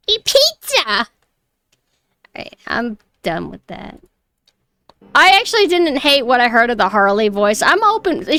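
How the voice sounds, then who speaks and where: A young woman with a high voice, chatting casually and snarkily on a stream, her close mic clipping on loud peaks. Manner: chatty, rambling, mockingly snarky. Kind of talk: casual reaction stream chat. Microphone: close mic that clips loud peaks.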